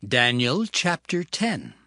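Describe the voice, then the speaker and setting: A man narrating scripture from a script in a deliberate, formal manner. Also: medium pitch, huge pitch swings, bright, forceful tone, dry recording, clean studio sound.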